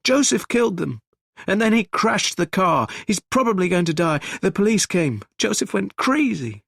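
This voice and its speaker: A man voicing a frantic grown man who shouts in a raised, higher voice. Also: measured pace, no echo, clean studio sound. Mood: panicked. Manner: loud and agitated.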